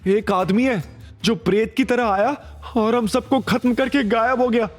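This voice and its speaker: A man's voice: extremely high pitch, fairly even intonation, a little slow, faint music behind, pitched above the performer's own voice. A man voicing a frightened, beaten young henchman in an audio drama, pained and whining. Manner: pained, whimpering.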